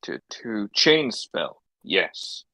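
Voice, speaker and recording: A man's voice: fairly low pitch, sing-song, very slow, gravelly, pushed and bright, no room sound. A man from Wales playing a tabletop game, talking hesitantly with many restarts. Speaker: player. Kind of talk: tabletop game play over voice chat.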